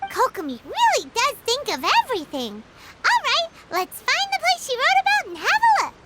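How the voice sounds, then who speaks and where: A woman voicing a small, chirpy, excitable fairy companion girl in a video game, with a squeaky childlike voice. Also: moderate pace, heavy background noise, full character voice. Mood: curious, eager. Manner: exclamatory, expressive.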